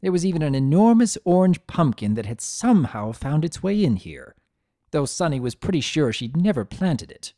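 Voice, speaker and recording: A man voicing a grumpy, possessive, secretly tender chaos creature who narrates his own story in a sardonic, expressive dramatic reading. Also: fairly high pitch, moderate pace, dry recording, clean studio sound. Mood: wry, reflective. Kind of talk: first-person dramatic reading of fan fiction.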